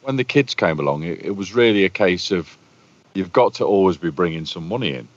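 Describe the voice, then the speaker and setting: A man with a deep, boomy voice, a bass player chatting as a guest and telling long stories. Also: lively intonation, brisk. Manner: talkative, storytelling. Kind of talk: casual conversation. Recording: muffled lo-fi audio.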